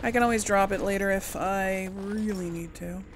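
A woman's voice: mid-range voice, moderate pace, slight background noise. A woman chatting casually through a game playthrough, thinking aloud to herself as she plays. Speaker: gamer hosting a role-play playthrough.

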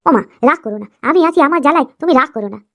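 A woman's voice: high voice, lively intonation, racing, fairly bright tone. A woman voicing a spiteful, scheming young stepmother who nags and complains in a whiny cartoon manner. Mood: coaxing.

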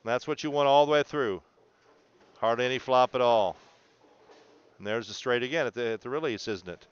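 A man's voice: mid-range voice, moderate pace, clear, resonant tone, lo-fi sound. A man coaching a student through video analysis in an encouraging, explanatory way, often checking in. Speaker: bowling coach.

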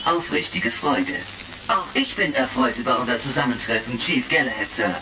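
Man acting a pedantic robot with creaky voice. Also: medium pitch, animated, normal speed, natural voice. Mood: earnest. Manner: announces its emotions aloud, pedantically precise. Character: huge combat robot, polite, literal-minded, slightly put-upon. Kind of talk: retro science-fiction radio drama acting. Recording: crackly vintage record, distant, music behind.

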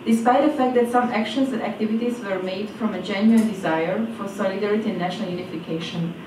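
A young woman from Transylvania reading a prepared speech from cards at a podium microphone on an auditorium stage, with her phrases falling in pitch at their ends. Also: recorded from a distance. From Transylvania, Romania. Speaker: speaker at a presentation event. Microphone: stand mic at a podium. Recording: echoey hall, voice from off-mic, audible noise.

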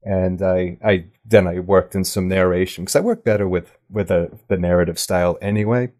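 Male podcast guest, low-pitched, thoughtful stop-and-start talker in a casual interview. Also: moderate pace, darkish tone. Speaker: podcast guest, audio drama writer and producer.

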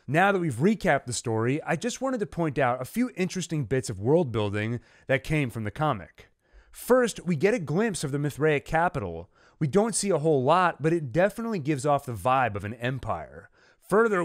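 A man hosting a video, delivering scripted recap narration and commentary in a measured, emphatic way. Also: fairly high pitch, no echo, studio quality. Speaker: channel host, narrator.

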